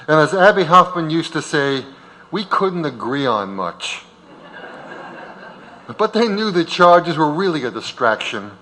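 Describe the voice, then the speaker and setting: Man, documentary narrator, deliberate and emphatic, very slow, slightly high-pitched, long hall reverb. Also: sharp tone. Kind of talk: narration of a historical documentary before an audience. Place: large auditorium.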